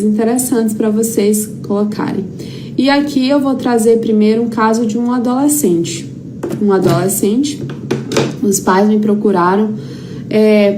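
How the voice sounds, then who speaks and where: Higher-voiced Brazilian woman teaching, noisy recording. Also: darkish tone. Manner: conversational, emphatic repetition, acts out quoted dialogue. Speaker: therapy teacher. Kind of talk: informal lecture with anecdotes. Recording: clearly noisy background.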